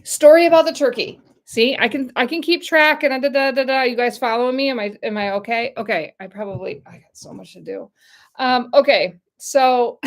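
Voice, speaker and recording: A middle-aged American woman chatting casually on a livestream, rambling and talking straight to her viewers. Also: medium pitch. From United States. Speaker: YouTube channel host.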